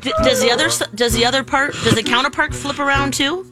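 A woman's voice: high-pitched, fast talker, over loud music. A woman co-hosting a morning radio show, playful and exclamatory. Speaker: radio co-host.

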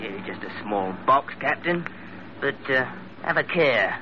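A man's voice: mid-range voice, lively intonation, a little slow, close to an everyday voice. An actor playing a shifty, chatty working-class man in a 1940s radio drama, colloquial and ingratiating. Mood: sly, confiding. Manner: colloquial, ingratiating, slightly sinister. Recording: muffled, noisy old radio recording.

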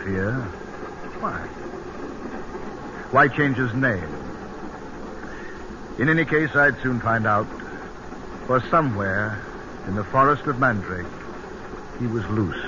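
British colonel on noisy old radio. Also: male voice, mid-range voice, expressive, takes it slowly, pauses often, dull audio. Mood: brooding. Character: male British army colonel, brisk, thoughtful wartime narrator. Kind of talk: radio drama narration and dialogue. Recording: noisy, band-limited old radio broadcast.

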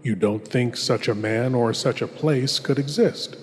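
A man's voice: mid-range voice, a little slow. A man hosting a horror show with scripted narration, theatrical, formal and ominous. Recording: long reverberant hall effect. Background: music.

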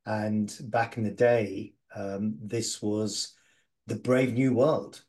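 A middle-aged man, an agency founder, answering interview questions in a measured way with many "uh"s and "um"s. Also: lowish voice, steady tone, a little mellow. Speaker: guest, agency founder and CEO.

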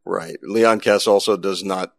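A man hosting a podcast and interviewing in a clear, conversational way. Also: low-pitched, fairly even intonation, a little slow, no room sound. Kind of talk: scripted intro and conversational interview.

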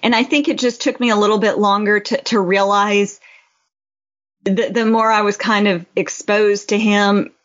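A woman's voice: mid-range voice, fairly even intonation, normal speed, dull audio. A woman co-hosting a casual football podcast, talking in an informal, blunt way. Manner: informal, blunt, frequent "you know". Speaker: podcast co-host.

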